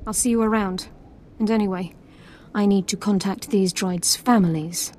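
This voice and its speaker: A woman's voice: medium pitch, steady tone, moderate pace, a little mellow, natural voice. A woman plays a bitter female officer in a video game cutscene. Her mid-range voice is terse and sardonic, full of disgust, with faint noise behind it. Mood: bitter anger. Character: bitter, angry female Imperial military officer.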